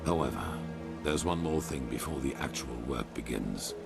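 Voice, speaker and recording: Very deep, gravelly commanding order leader. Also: male voice, lively intonation, heavily acted. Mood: solemn, persuasive. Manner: measured, formal, authoritative. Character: commanding older male leader of a religious order, solemn and imperious. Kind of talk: scripted fantasy video game dialogue. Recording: loud music behind.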